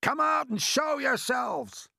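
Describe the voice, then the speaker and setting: A man voicing an excitable, blustering police chief in a children's storybook, shouting orders in alarm. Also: very high voice, slow, gravelly, dry recording, clean studio sound, pitched above the performer's own voice, exaggerated voice. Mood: commanding shout.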